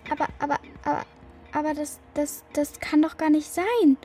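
A woman's voice: sits high, steady tone, normal speed, darkish tone, faint music behind, natural voice. An actress playing a distraught, stubborn young girl in a children's radio play, urgent and pleading. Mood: disbelieving.

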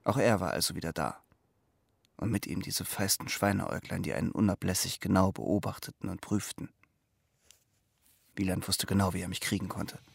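A man's voice: deep voice, steady tone, normal speed, vocal fry, husky, no echo, studio quality, heavily acted. An actor playing an uneasy Cold War double agent who tells his own story in a crime radio play. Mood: brooding, tense. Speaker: actor, narrator and lead role. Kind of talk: first-person crime radio play narration.

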